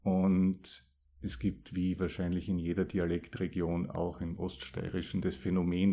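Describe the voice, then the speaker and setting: Deep-voiced East Styrian poet, soft-toned, reads dialect verse and reflects with pauses. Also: male voice, gravelly, highs cut off. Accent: East Styrian Austrian German. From East Styria, Austria. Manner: thoughtful, with pauses and hesitations. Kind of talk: dialect poetry reading and talk about it.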